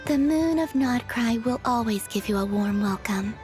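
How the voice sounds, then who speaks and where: An actress plays a young woman from a video game. Her voice sits fairly high, and she speaks with composed, courteous restraint. Music plays behind her. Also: animated, normal speed, a little mellow, natural voice. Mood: calm, cordial. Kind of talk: video game dialogue acting.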